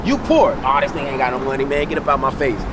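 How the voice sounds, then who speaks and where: A man's voice: mid-range voice, fast talker. An American man preaching in an impromptu, colloquial street sermon, exhorting and repeating phrases for emphasis. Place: outdoors on a city street. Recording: loud outdoor traffic and street noise.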